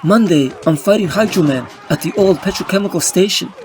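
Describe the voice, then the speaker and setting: Man acting a high-voiced, weary young superhero. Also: fairly quick, background noise, lightly acted. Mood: brooding, wry. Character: young, weary, wisecracking male superhero narrating his troubles. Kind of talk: scripted comic-book narration and dialogue.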